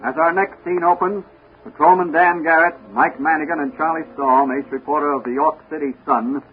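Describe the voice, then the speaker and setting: Dramatic old-time radio announcer, male, highish voice. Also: fairly even intonation, normal speed, narrow, tinny audio, over music. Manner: dramatic, declamatory. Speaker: announcer and narrator. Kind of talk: 1940s radio serial narration, scripted. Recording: thin, noisy 1940s radio recording.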